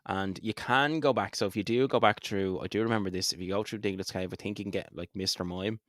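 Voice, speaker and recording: A man's voice: lowish voice, quick pace, a bit bright, no echo, studio quality. An Irish man co-hosting a podcast, chatting casually as he narrates a game playthrough. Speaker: podcast co-host.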